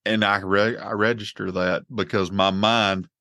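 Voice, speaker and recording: A man with a very deep voice hosts a podcast in plain, earnest talk. He speaks openly about faith. The sound is dry and close, with no room around it. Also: little pitch movement, a little slow, sharp tone, no room sound. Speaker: podcast host. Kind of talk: casual conversation.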